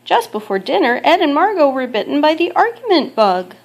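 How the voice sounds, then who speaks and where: A woman reading a children's picture book aloud as a mother would, in measured, expressive storytelling. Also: high voice.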